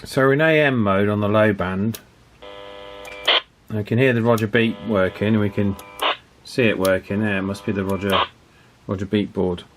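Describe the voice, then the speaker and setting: A man's voice: fairly low pitch, steady tone, moderate pace, pauses often, background noise. A man giving casual hands-on repair commentary, thinking aloud in a hesitant, methodical way and repeating himself as he works. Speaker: radio repair hobbyist presenting a video.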